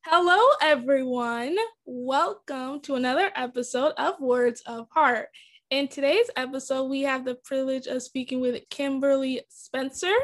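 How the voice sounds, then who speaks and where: A woman hosts a podcast in a high, light voice. She asks her guest polite, friendly questions at a measured pace, with a few fillers along the way. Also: a bit bright. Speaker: podcast host. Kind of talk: podcast hosting.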